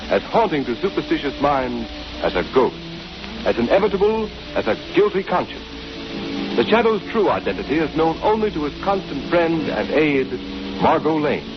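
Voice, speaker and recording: An actor delivering solemn, dramatic narration as the announcer of a 1930s radio serial. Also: male voice, on the high side. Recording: muffled 1930s radio recording, music behind.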